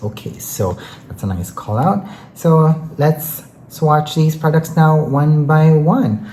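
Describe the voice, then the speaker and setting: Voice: mid-range voice, lively intonation, slow, warm tone. A beauty vlogger chatting enthusiastically and casually through a makeup product review, often dropping in filler phrases like "you know". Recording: roomy echo, faint background noise.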